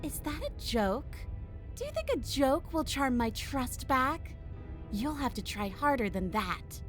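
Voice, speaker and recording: A woman's voice: sits high, big pitch swings, measured pace, soft background music. A woman playing a proud, bitter, menacing captive princess in a video game, sarcastic and taunting. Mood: scornful. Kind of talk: video game character acting.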